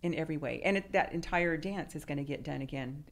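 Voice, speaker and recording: A woman's voice: deep voice, fast. A woman in her sixties hosting a podcast, chatting casually and telling anecdotes. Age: 60s. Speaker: podcast host. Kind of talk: casual interview chat.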